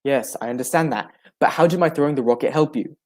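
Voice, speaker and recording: Man playing a loyal gentleman companion, medium pitch, earnest, polite, inquisitive, old-time radio drama. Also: fast talker, natural voice. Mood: puzzled. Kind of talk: 1940s radio drama acting.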